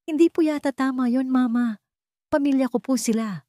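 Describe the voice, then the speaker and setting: A woman voicing a meek, hurt daughter-in-law in a narrated story, speaking softly, politely and hesitantly. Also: sits high, normal speed, dry recording, studio quality, voice pushed up, natural voice. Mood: hurt, pleading. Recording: music behind the voice.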